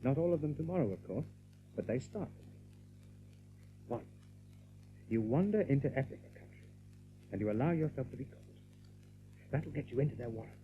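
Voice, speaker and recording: A man's voice: mid-range voice, expressive, normal speed, pauses often, faint music behind, close to an everyday voice. A man playing a brave, decisive young rabbit leader in an audio drama, earnest and commanding. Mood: calm, planning. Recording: thin narrow-band recording with faint noise.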